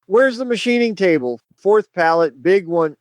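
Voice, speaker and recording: A man puts on a cranky gun shop owner in his fifties for an audio drama. The voice is clear and pitched well above his own, with a blunt, barking, short-tempered delivery. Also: expressive, normal speed, no room sound, clean studio sound. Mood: irritated. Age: 50s.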